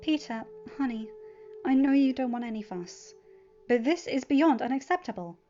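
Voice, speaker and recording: A woman's voice: high voice, expressive, normal speed, warm tone, lo-fi sound, faint music behind, pitched above the performer's own voice. A woman voicing a formidable, loving mother, firm and earnest, in a story reading. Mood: firm, indignant.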